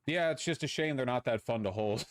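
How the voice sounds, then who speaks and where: A man's voice: mid-range voice, a little fast, no room sound, studio quality. A man chatting casually and informally. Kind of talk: casual chat.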